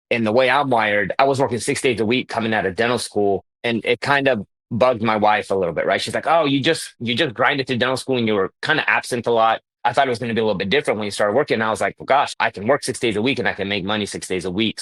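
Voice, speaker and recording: A man with a fairly low voice tells his career story in a casual, energetic way, speeding up in bursts and restarting his sentences. The sound is dry and close, with no room around it. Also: no room sound, low-quality recording. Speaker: dentist and guest.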